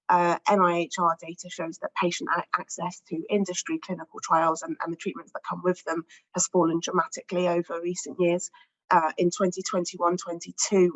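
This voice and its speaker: A woman speaking on an expert panel, slightly croaky from a cold, giving a measured prepared statement with frequent ums and repeated words. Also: fairly low pitch, low-quality recording. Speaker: research director on an expert panel.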